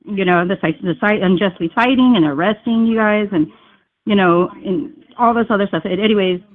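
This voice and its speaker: A woman calls in over a thin, tinny phone line. She talks in a chatty, rambling way, full of fillers. Her pitch sits in the middle of the range. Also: moderate pace. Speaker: caller.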